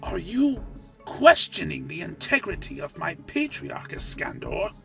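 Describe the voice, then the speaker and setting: A man playing a stern, loyal vampire officer in a fantasy audio drama, gravelly, haughty and reproachful. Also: high-pitched, big pitch swings, measured pace, fairly bright tone, soft background music, exaggerated voice. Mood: accusing. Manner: reproachful, emphatic.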